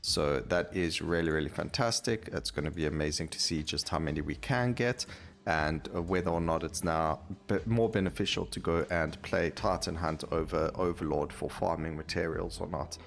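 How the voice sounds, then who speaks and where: Very low-voiced man doing game commentary. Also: fairly even intonation, moderate pace, gravelly. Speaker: gaming video host. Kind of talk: casual gameplay commentary.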